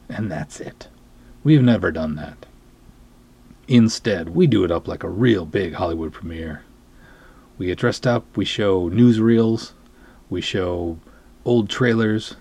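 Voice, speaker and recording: A man's voice: on the low side, dark tone. A man hosting a podcast in a casual monologue, rambling along in a self-deprecating way. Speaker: podcast host, film writer and director.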